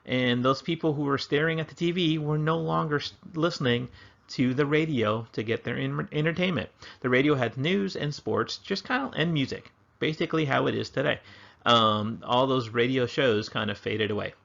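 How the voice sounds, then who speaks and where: A man's voice: mid-range voice, moderate pace, creaky, a bit bright, muffled recording. An American man giving a casual history lecture as a teacher, informal, conversational and lightly wry.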